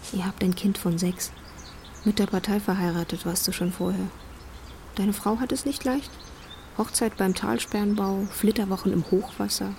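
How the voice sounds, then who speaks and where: A woman's voice: medium pitch, creaky, a little mellow, a little hiss or hum, natural voice. A woman playing a frank, intense lover of a married man in a radio drama.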